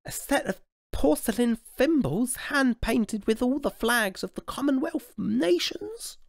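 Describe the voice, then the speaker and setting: A man voicing a precocious royal baby with a high, childlike, plummy voice for a storybook. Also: animated, unhurried, gravelly, no echo, studio quality, exaggerated voice. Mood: eager. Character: royal baby, piping posh little child.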